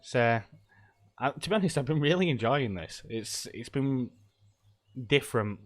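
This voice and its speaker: A man's voice: mid-range voice, expressive, unhurried. A middle-aged man, stuffy from a cold, chatting casually and rambling with lots of ums and laughs while live-streaming a game.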